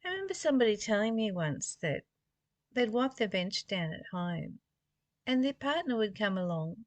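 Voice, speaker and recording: A woman's voice: medium pitch, very animated, normal speed, dry recording. A woman reading spiritual text aloud and musing on it informally, in a reflective way with rhetorical questions. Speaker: spiritual study reader and commentator.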